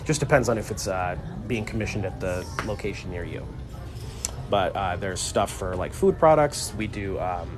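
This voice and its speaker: A lower-pitched man talks loosely and unhurriedly, filling his sentences with ums and uhs as he explains things on a live stream. He is inside a pharmacy store, with music clearly playing in the background. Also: normal speed. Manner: relaxed, rambling with many fillers. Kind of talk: casual live-stream how-to talk.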